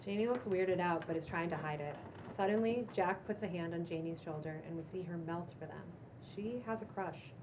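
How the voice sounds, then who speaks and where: Lower-pitched woman reading stage directions. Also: normal speed. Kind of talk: scripted narration at a table read. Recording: clearly noisy live room recording.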